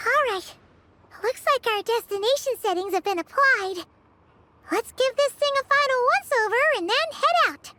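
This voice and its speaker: Small fairy companion, very high-pitched, animated, cheerful, video game acting. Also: female voice, moderate pace, exaggerated voice. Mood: eager.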